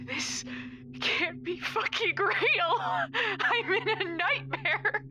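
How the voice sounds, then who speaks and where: An actress playing a terrified, desperate woman begging for help in an audio drama, tearful and halting. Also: extremely high pitch, expressive, exaggerated voice. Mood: terrified despair. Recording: music behind the voice. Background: music.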